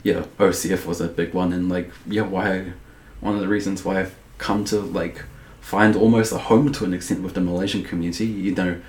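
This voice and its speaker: A man's voice: low voice, fairly even intonation, fairly quick, darkish tone, roomy sound. A young man, a podcast guest, talking casually, rambling and full of restarts and "like". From New Zealand. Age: young adult. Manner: rambling, heavy use of "like", many restarts.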